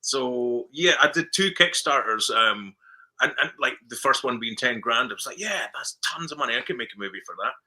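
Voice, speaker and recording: Scottish guy, super bright voice, chatty director telling stories in an interview, repeats himself a lot. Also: mid-range voice, lively intonation, fairly quick. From Scotland. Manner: chatty storyteller who repeats words and acts out dialogue. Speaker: film director guest. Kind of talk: casual interview chat.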